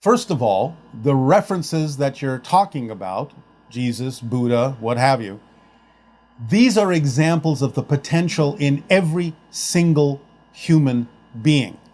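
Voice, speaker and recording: A man speaking as a channeled spirit guide, answering audience questions in a measured, formal manner and calling himself "we". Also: mid-range voice, animated, a little mellow. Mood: explaining. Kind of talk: spoken Q&A session.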